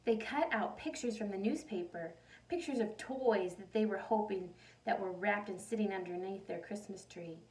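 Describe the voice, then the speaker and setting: A woman reading a picture book aloud like a teacher, animated, pausing to put questions and asides to young listeners. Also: mid-range voice. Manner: animated storytelling, addresses young listeners directly. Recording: voice distant from the microphone.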